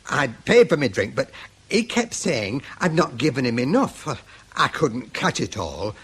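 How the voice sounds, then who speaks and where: A man's voice: mid-range voice, big pitch swings, measured pace, a bit bright, breathy edge, heavily acted. An actor playing a defensive, stubborn working-class man with a Northern English accent, blunt and evasive, in a radio drama. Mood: guarded.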